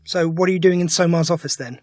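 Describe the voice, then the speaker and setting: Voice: mid-range voice, fast talker, a little mellow, no room sound, studio quality, far from the mic, natural voice. An actor playing a quirky, wry time-travelling alien adventurer in an audio drama, chatty and given to grumbling. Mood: chatty, inquisitive.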